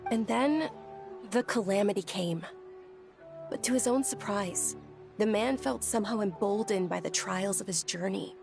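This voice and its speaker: Woman doing a shy gentle girl telling a legend, bit of fry in the voice, music behind. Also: medium pitch, normal speed, close to an everyday voice. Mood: storytelling. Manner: soft, hesitant, stammers when nervous. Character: shy, gentle young woman devotee and storyteller. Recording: game audio with background music.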